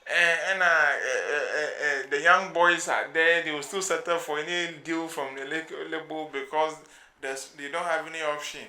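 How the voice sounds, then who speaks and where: A man's voice: fairly high pitch, fairly even intonation, moderate pace, pushed and bright, reverberant. A man with a Ghanaian accent co-hosting a podcast, chatting casually and slipping into Pidgin. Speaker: podcast co-host. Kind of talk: casual chat.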